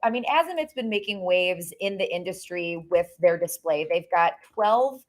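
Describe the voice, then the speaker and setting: A woman's voice: on the low side, lively intonation, moderate pace. A woman co-hosting a casual boating broadcast, bubbly and chatty, with lots of "like.". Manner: bubbly, chatty, uses "like" and "y'all". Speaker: co-host. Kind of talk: casual promotional chat.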